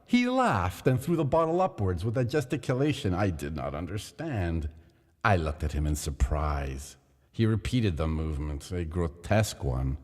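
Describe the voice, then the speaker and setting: A man with a very low voice reads a gothic tale in a measured, theatrical manner. His delivery swings with lively pitch, and a long reverb hangs behind him. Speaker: narrator. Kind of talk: dramatic reading of a gothic tale.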